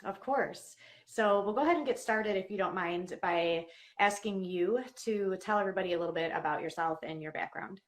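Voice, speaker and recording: A woman's voice: medium pitch, fairly quick. A woman hosting a live online interview in a conversational style.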